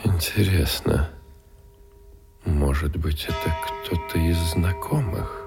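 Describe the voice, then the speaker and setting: A curious old-time russian gentleman, really deep, slow and musing, radio play with music under it. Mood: curious, puzzled. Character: curious, pensive gentleman of Tsarist Petersburg. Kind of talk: period radio drama acting. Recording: radio drama with music behind.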